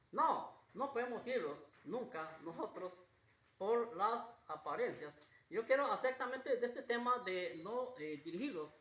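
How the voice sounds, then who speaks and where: Husky preacher's voice, animated intonation, halting and repetitive, homespun Bible sermon, roomy echo. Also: medium pitch. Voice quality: slightly husky, hoarse voice. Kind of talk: informal Bible sermon with retellings and readings. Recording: roomy echo with faint background noise.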